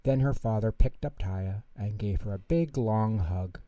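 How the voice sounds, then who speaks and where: A man reading a children's bedtime story aloud in an animated narrating style. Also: on the low side, a little slow, dull audio. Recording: roomy echo, distant mic.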